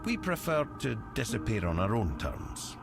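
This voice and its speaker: An actor playing a cynical, shadowy guild member in a fantasy game, speaking in a measured, wry, secretive way. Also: male voice, medium pitch, very animated, creaky. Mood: proud, defiant. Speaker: quest giver in a fantasy game. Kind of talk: scripted fantasy game dialogue. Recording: music behind the voice.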